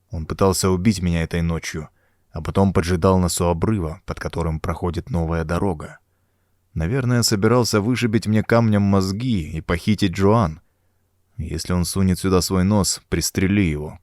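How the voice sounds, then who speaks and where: A man's voice: low-pitched, steady tone, moderate pace, a little mellow, no echo, studio quality, pitched below the performer's own voice, close to an everyday voice. A man voicing a tough, protective rancher hero, terse and commanding, in an audiobook. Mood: urgent, hushed.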